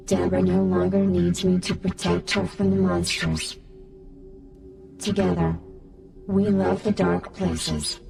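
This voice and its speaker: A woman voicing a solemn, cryptic guardian spirit king in a video game, with a creaky, gravelly voice and a grandiose manner. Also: lowish voice, a little mellow, recorded from a distance, full character voice. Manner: slow, grandiose, pronouncing. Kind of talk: scripted video game dialogue. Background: music.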